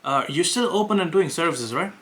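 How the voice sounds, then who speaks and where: A young man with an Indian accent, talking casually to camera and pitching on sales calls, hesitant with many fillers and restarts. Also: expressive, a little fast, fairly bright tone. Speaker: web design agency owner making cold calls.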